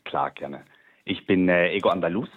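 Man, deep voice, husky, hesitant with fillers, tinny phone line. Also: moderate pace. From Switzerland. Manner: hesitant, many fillers and restarts. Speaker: guest artist. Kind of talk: personal interview account.

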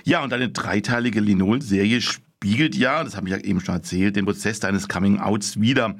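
A man, a radio show host, reading a scripted introduction and then asking questions calmly. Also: on the low side, normal speed. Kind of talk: radio interview.

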